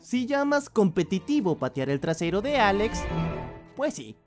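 A man's voice: high-pitched, very animated, moderate pace, darkish tone, soft background music. A man voicing a boastful but cowardly young male adventurer in a cartoon fandub, cocky and jittery, with Latin American Spanish.

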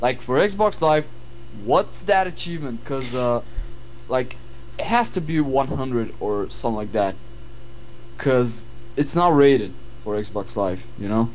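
A man's voice: medium pitch, expressive, measured pace, darkish tone, slight background noise, poor audio. A young man with a Bulgarian accent co-hosting casual gaming commentary, laid-back and hesitant. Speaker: gaming channel co-host.

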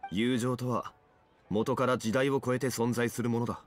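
A solemn, poetic gentleman scholar, played by a man in a Japanese game. He speaks formally and with measured dignity in a medium-pitched male voice, with faint music behind. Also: steady tone, close to an everyday voice. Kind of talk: Japanese video game voice acting.

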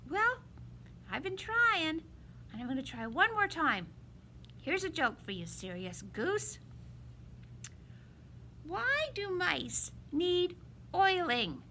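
A woman's voice: extremely high pitch, very animated, slow pace, pauses often, bright, forceful tone, a little hiss or hum, pitched above the performer's own voice, full character voice. A woman voicing a friendly, chatty library mouse puppet in a children's story-time skit, with exaggerated, childlike delivery. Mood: eager joking.

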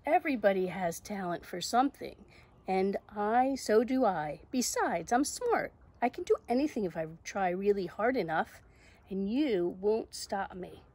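A woman voicing a bold, imaginative little girl from a picture book, speaking emphatically, with a slightly husky voice. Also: mid-range voice, sing-song, measured pace, a little mellow, full character voice. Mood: defiant, proud. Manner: emphatic, declarative, defiant.

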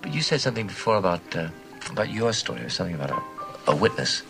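An actor playing a suave, evasive middle-aged writer in a mystery film, courteous, smooth-talking and guarded. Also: male voice, sits low, very animated, rapid-fire, music in the background, full character voice, poor audio. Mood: smooth, probing. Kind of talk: television film mystery acting.

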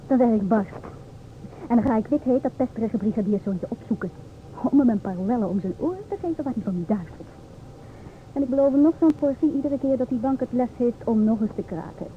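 An actress playing an outspoken, sharp-tongued woman in a Dutch radio play, with an assertive, mocking, theatrical delivery. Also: fairly high pitch, lively intonation, fairly quick, natural voice. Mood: feisty, scornful. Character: outspoken, sharp-tongued divorced woman lecturing two inspectors. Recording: old radio, thin phone-like sound, noisy.

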